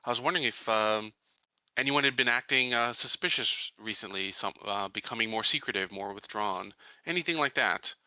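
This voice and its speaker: A man playing a tabletop roleplaying game, speaking casually and haltingly as a questioning sheriff. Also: lowish voice, sharp tone, no room sound.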